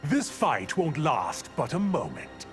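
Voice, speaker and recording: An actor playing a haughty, cruel demonic sorcerer in a video game, raspy and theatrically menacing, speaking in archaic, formal phrases. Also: male voice, on the high side, sing-song, slow pace, faint music behind. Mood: menacing, scornful. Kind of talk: video game villain acting.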